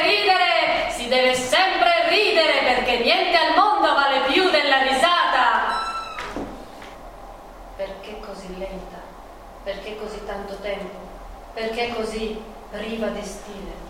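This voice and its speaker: Woman performing defiant woman artist in pain, high-pitched, dramatic stage monologue, far from the mic, noisy recording. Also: heavy reverb, close to an everyday voice. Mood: anguished, defiant. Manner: dramatic, swinging between hushed murmurs and cries. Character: Frida Kahlo, anguished, defiant, passionate woman painter in pain. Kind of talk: theatrical monologue radio drama.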